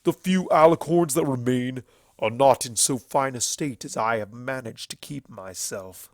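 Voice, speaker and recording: A man's voice: medium pitch, a little slow, no echo, lightly acted. A bitter and ancient stallion from a fantasy storybook, performed by a man. He declaims his accusations with heavy scorn, stretching key words for emphasis. The sound is close and dry. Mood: scornful fury.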